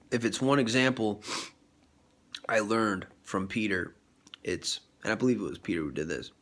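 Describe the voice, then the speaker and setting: A man's voice: on the low side, creaky, a bit bright. A man with a cold talking in a rambling vlog about faith, full of fillers and restarts, sniffly and congested. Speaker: vlogger. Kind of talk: rambling religious vlog talk.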